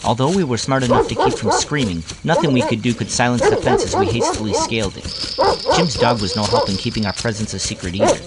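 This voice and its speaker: A man's voice: mid-range voice, huge pitch swings, fast, over a noisy crowd. A man narrating a scripted, nostalgic first-person story as its storyteller.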